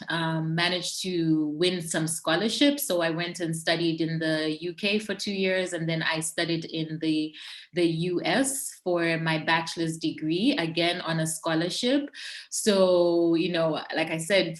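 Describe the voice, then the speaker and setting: A woman from Zambia in her forties shares her story as a podcast guest. Her voice sits a touch low and she talks openly and reflectively. The room around her rings with echo. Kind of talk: conversational storytelling interview.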